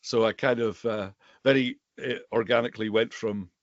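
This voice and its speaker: A middle-aged man from the Western Isles of Scotland, a native Gaelic speaker with a Highland accent, chatting conversationally as an interview guest. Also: fairly even intonation, moderate pace, dry recording, lo-fi sound. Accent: Scottish Highland. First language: Scottish Gaelic. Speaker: guest, bookseller and Gaelic teacher.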